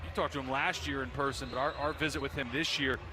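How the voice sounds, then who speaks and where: A man's voice: mid-range voice, steady tone, quick pace, poor audio. A man working as a color commentator, giving analysis during a live football broadcast.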